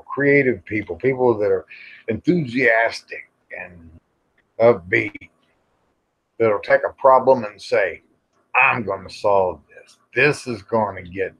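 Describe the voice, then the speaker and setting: A man's voice: lowish voice, measured pace, long pauses, breathy edge. A folksy, plain-spoken American man chatting casually and rambling to viewers on a livestream. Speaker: livestream host. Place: outdoors in the evening. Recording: dull lo-fi livestream audio.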